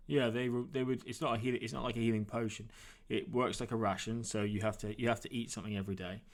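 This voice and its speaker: A man narrating a tabletop role-playing game as game master, describing things in a halting, improvised, conversational way. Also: lowish voice, steady tone.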